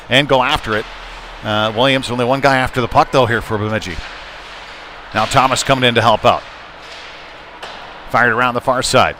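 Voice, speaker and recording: Man calling hockey over clear rink noise. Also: medium pitch, pushed and bright. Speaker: play-by-play hockey commentator. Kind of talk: live ice hockey commentary. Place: indoor ice rink. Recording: noisy live arena stream, rink ambience. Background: clear rink noise and ambience.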